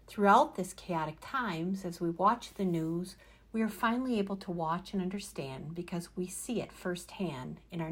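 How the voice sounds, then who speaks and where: Low-voiced woman giving a formal address. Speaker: speaker delivering an organization's message.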